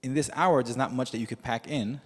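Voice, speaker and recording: A man whose first language is Yiddish, preaching as a rabbi in a measured storytelling way with frequent pauses. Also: medium pitch. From United States. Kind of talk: sermon. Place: synagogue sanctuary with long reverb. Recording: reverberant hall.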